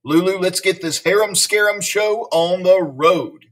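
A man's voice: high-pitched, a little slow, a bit bright, far from the mic, voice pushed up. A man voicing a bossy, conspiratorial woman school nurse in a storybook, urgent and emphatic with rhyming slang. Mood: decisive.

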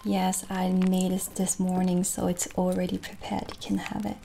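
A woman in an ASMR roleplay playing a gentle, friendly witch who keeps a potion shop, speaking softly and soothingly without hurry. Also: lowish voice, completely flat delivery, gravelly, background noise. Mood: calm, friendly. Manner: soft, unhurried, soothing. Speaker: ASMR performer. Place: small dim candlelit room, indoors. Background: soft music.

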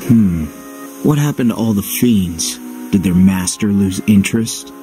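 A man voices a wary swordsman from a video game in a fairly low register. He is cool and suspicious, terse and drily sardonic, with music clearly playing behind him. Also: unhurried, natural voice. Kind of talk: English video game voice acting.

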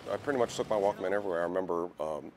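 Deep-voiced, fast-talking American man. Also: noisy background. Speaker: writer, interviewee. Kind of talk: casual interview talk. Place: music shop, indoors.

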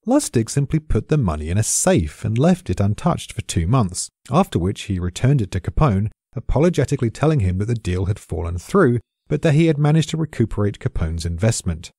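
A man with a British accent narrates in a low, mellow voice that swings expressively in pitch. He sounds like a wry, well-read storyteller explaining from a script. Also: sing-song, brisk, husky, dry recording, clean studio sound. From Britain. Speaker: expert narrator.

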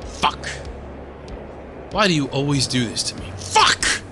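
A man's voice: a little high, big pitch swings, a little slow, over music. A man playing a cynical, sarcastic, foul-mouthed reluctant hero in a horror audio drama, blunt and exasperated.

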